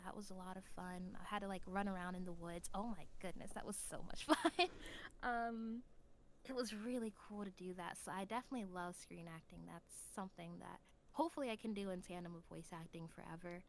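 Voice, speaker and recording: A woman's voice: lowish voice, fairly quick. Casual young woman, noisy background. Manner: animated storytelling with slangy, casual phrasing. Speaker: podcast guest, actor. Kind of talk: casual chat. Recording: clear background noise.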